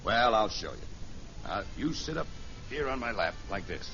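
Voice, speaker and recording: An actor playing a kindly middle-aged American father in a 1950s radio sitcom, gentle, folksy and patient as he plays along with a child. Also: lowish voice, very animated, normal speed, music in the background. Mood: playful, eager. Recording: muffled, hissy vintage radio recording.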